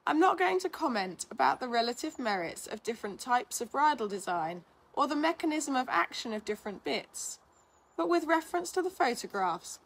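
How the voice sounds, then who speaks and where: A woman reading a scripted article aloud in a measured, instructional manner. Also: a little high, creaky, slightly rough, rough recording. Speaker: reader of a blog, physiotherapist.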